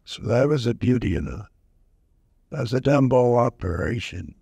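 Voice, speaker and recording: A man in his nineties slowly recalling wartime service in an oral history session, halting with many fillers, speaking into a handheld mic. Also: mid-range voice, lively intonation, gravelly, mellow, husky, no echo. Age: over 90. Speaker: veteran interviewee. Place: museum event room, indoors. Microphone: handheld mic held close.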